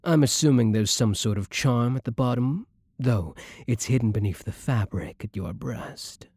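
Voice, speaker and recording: A man's voice: mid-range voice, moderate pace, darkish tone, breathy edge, no echo, studio quality. A man playing a suave, sadistic vampire lord in an intimate ASMR roleplay, courtly, teasing and menacingly polite. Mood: condescending, probing.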